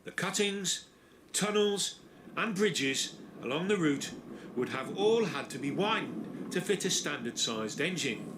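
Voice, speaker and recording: A man giving scripted documentary narration in a measured, storytelling delivery. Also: a little high, expressive, noisy background. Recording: narration over music from a played video.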